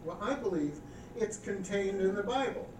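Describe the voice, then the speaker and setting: A man preaching a sermon as pastor, reading scripture slowly and with emphasis, then speaking casually and asking the congregation questions. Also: high-pitched, animated. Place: church sanctuary. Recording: echoey church recording from far off with background noise.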